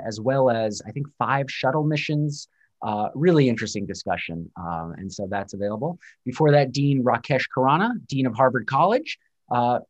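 Chatty male webinar host. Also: mid-range voice. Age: 40s. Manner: chatty, filler-heavy, self-deprecating. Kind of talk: webinar hosting and interviewing.

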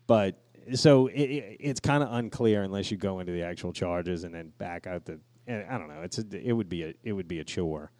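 Low-voiced man chatting casually, dry close sound. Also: fairly quick, few pauses, a little mellow, dry recording. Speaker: podcast co-host. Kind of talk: casual podcast chat with profanity.

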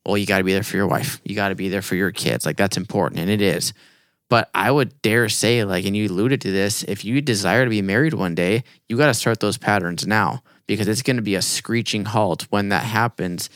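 A man's voice: on the low side, a little fast, fairly bright tone, dry recording, clean studio sound. A man in his late twenties co-hosting a youth ministry podcast, talking earnestly and emphatically. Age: 20s. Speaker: youth pastor podcast co-host. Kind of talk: casual podcast chat.

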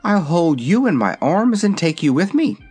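Young girl played by a man, cheerful, confident, raised pitch, animated storybook delivery. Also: moderate pace, slightly rough, soft background music. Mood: reassuring. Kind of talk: storybook character voice.

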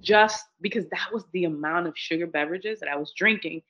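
A woman's voice: lowish voice, a little fast. A woman earnestly sharing her personal health story as a guest, reflective and conversational. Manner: earnest, reflective, many "like" fillers. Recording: dull lo-fi audio.

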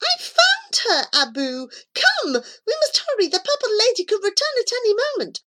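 A woman voicing a bossy, exasperated female cat who urges a boy to hurry, in a high, loud voice. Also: big pitch swings, normal speed, background noise. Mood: urgent.

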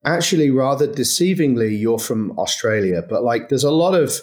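A man's voice: medium pitch, animated, normal speed, a little mellow. A man from Manchester hosting a podcast interview, asking long rambling questions. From Manchester, England. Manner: conversational, hesitant questions with many fillers. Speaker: podcast host.

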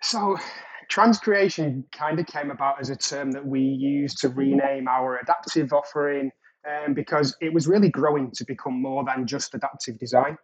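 A man's voice: medium pitch, steady tone, normal speed, low-quality recording. A man with an English accent, a podcast guest talking casually over a remote call, loose and rambling with fillers and restarts. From England. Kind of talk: casual interview talk.

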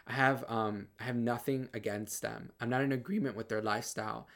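Earnest soft-voiced man giving testimony. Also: medium pitch, fairly even intonation, a little fast, creaky. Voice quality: soft, somewhat feminine-sounding voice. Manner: earnest, lots of fillers and repeated starts. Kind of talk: casual personal testimony.

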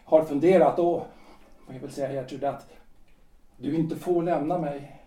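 A husband in his forties, a lawyer, pleads with his wife and stumbles over his words, played by an actor. His voice sits fairly high but sounds mellow. It is heard from a little distance in an echoing room in this audio drama. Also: steady tone, natural voice. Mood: pleading. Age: 40s. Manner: pleading, hesitant, restarting phrases. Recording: roomy, off-mic.

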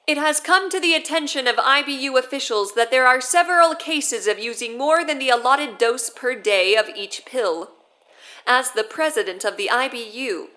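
Audio drama role: woman playing authoritarian head of state, crisp official broadcast delivery, bright, raised female voice. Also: a little high. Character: stern, authoritarian woman president addressing citizens.

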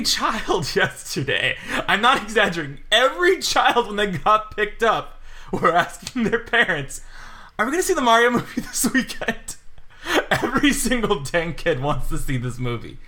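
A man's voice: high-pitched, very animated, normal speed, bright, forceful tone. A young man in casual podcast chat, telling a scattershot story and laughing often. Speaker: podcast co-host.

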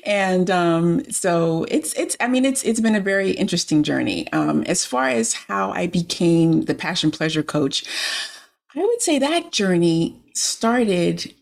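Woman in her forties, lowish voice, reflective, halting, frequent restarts. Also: big pitch swings, gravelly. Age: 40s. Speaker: interview guest, coach. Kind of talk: conversational interview storytelling.

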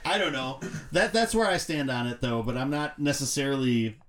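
Man, mid-pitched voice, podcast panelist, casual conversational film talk. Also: moderate pace, a bit bright. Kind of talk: casual film discussion chat.

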